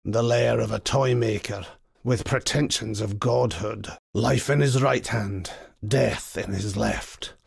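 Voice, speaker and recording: Old zealot templar, deeply creaky gravel voice, husky, devout, grim, solemn archaic phrasing, video game character, close dry sound. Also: male voice, fairly even intonation, slow pace, no echo, heavily acted. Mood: solemn, fervent.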